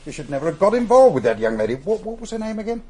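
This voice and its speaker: An actor playing a blunt, skeptical police superintendent in a radio drama, with a dry, teasing way of talking. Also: male voice, sits high, sing-song, rapid-fire, warm tone, slight background noise. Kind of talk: 1960s radio drama acting.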